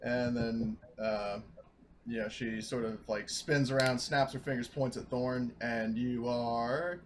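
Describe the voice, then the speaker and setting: A man's voice: mid-range voice, flat delivery, measured pace, a little hiss or hum. A man casually narrating a character's actions as a player in a tabletop roleplaying game.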